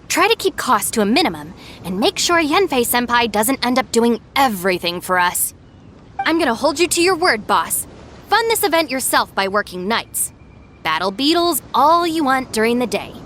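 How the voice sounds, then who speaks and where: A woman's voice: a little high, big pitch swings, moderate pace, a bit bright, a little hiss or hum. A woman playing a sensible, stern young deputy in a video game, dryly exasperated and matter-of-fact. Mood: resigned. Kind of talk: animated video game voice acting.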